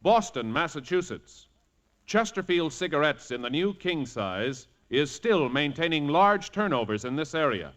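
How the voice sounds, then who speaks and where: A man's voice: on the high side, big pitch swings, measured pace, fairly bright tone. A man announcing a scripted radio commercial in 1950s advertising style.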